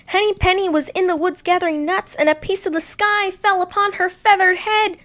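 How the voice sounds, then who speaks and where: A woman voicing excitable, worried farmyard birds in a children's story, full of exclamations. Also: very high voice, moderate pace, voice pushed up. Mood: alarmed. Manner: exclamatory, quacking, honking and gobbling.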